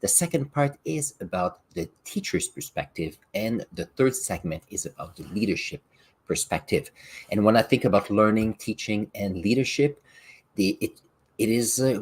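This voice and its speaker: A man's voice: on the low side, breathy edge. A middle-aged man whose first language is French, hosting his own podcast and explaining ideas with classroom examples. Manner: reflective, explains with examples, occasional restarts. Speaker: podcast host and science teacher. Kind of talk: solo podcast talk.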